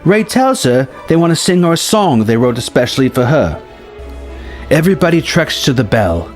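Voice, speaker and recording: A man's voice: mid-range voice, very animated, moderate pace, soft background music. A man reading a scripted story treatment aloud as a narrator, with emphatic, dramatic storytelling delivery.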